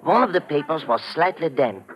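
An actor playing a helpful, fussy German hospital doctor in an old radio drama, hesitant yet precise, with a German accent. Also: male voice, fairly high pitch, big pitch swings, normal speed, fairly bright tone, rough recording. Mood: grave explaining. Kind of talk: 1950s radio drama acting.

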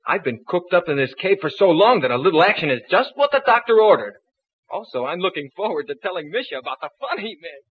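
An eager young sharpshooter, high slangy voice, old radio drama, muffled hissy audio. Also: male voice, sing-song, fast, slightly rough, heavily acted. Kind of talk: 1940s radio adventure acting.

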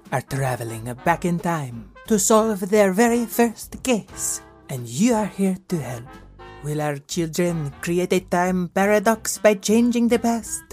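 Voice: medium pitch, a little mellow, natural voice. An actor playing a cheerful French woman detective chief, theatrically narrating a children's audio drama. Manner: theatrical, playful. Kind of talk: scripted storybook narration for children.

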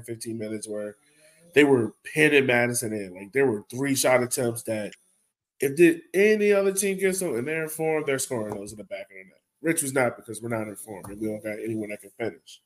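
A man with a medium-pitched voice gives casual sports commentary as a podcast co-host. He sounds exasperated and emphatic, often tagging his points with a quick question. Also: rough recording.

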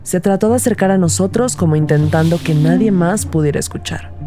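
A woman's voice: fairly low pitch, brisk, music in the background, lightly acted. A woman playing an observant, empathetic rookie detective who narrates an audio drama in the first person. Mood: somber, observant.

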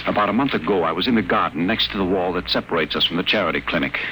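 An actor playing a guarded, secretive addict patient, confiding in low, careful tones, in 1940s radio acting style. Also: male voice, racing, pushed and bright, a little hiss or hum, low-quality recording.

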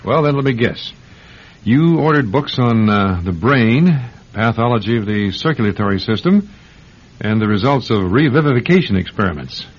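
A man's voice: medium pitch, expressive, moderate pace, husky. A man playing a genial, teasing doctor in a radio drama, chatty and a little hesitant. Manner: hesitant, chatty, good-humored. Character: genial, teasing male doctor, the writer's easygoing friend. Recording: dull, faintly noisy radio recording.

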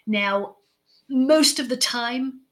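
A woman guest expert giving measured interview answers, stacking her points in lists and letting sentence ends fall soft. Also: high-pitched. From United States. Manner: deliberate, stacks phrases in lists, trails off softly at ends. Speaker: guest expert on leadership and communication.

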